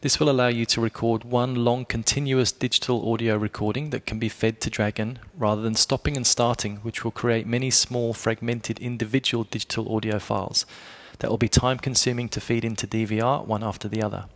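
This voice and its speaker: A man reading a scripted explainer review as a blogger, in a measured way, speaking directly to the listener. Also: medium pitch, steady tone. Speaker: blogger presenting a product review.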